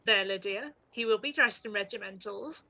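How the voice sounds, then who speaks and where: A woman playing a silly, excitable teenage girl in a period radio play, gushing and petulant. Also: mid-range voice, normal speed, gravelly, lo-fi sound. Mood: admiring.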